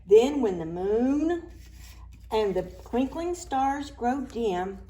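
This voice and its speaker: Animated sing-song woman reading to preschoolers. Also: fairly high pitch, extremely slow. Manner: animated, sing-song, slips into soft whispers. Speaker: children's librarian. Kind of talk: preschool story time read-aloud.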